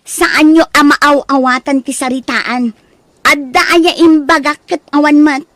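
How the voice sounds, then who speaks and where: A woman's voice: high-pitched, steady tone, measured pace, fairly bright tone, dry recording. A woman with a Filipino accent playing a bossy, scornful daughter-in-law who rules her household, in a radio drama. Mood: scornful, irritated. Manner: scolding, haughty.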